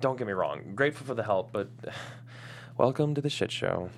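A man's voice: on the low side, animated, normal speed, vocal fry, a little hiss or hum. A man playing a stressed, foul-mouthed manager of a young gaming team at a comedy table read, exasperated and blustering. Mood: weary, confessional.

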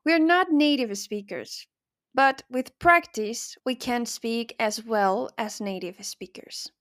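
A woman hosts an English lesson podcast, taking her time over each phrase. Her voice sits fairly high, and her delivery is careful and clear. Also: slow, a bit bright. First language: Spanish. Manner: careful, clear teaching delivery with example sentences. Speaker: podcast host and English teacher.

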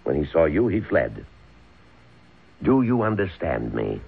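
A man's voice: low voice, big pitch swings, a little slow, vocal fry, breathy edge, full character voice. An actor playing a stern, scheming rich father who orders his son about, in old-time radio drama style. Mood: cold, calculating. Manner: stern, commanding, impatient. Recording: muffled phone-like old radio recording.

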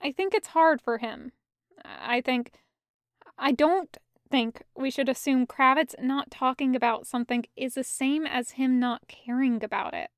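A woman voicing a gentle, concerned man in an audio story, speaking carefully and with some hesitation. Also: high voice, unhurried, clear, resonant tone, no echo, studio quality. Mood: careful, placating.

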